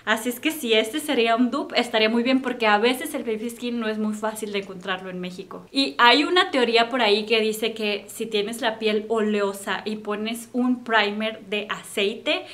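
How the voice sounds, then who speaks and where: A young woman with a Mexican accent, chatting casually to camera about makeup she bought, recorded at home in a bright room. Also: fairly high pitch. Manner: chatty and upbeat, friendly direct address. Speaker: beauty vlogger.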